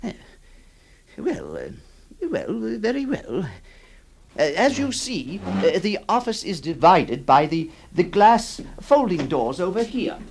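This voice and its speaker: A man's voice: high-pitched, wildly swooping pitch, slow, creaky, husky. A man playing a flustered middle-aged lawyer who narrates and stammers, in an old radio drama. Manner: hesitant, stammering, fussy. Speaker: narrator and lead actor.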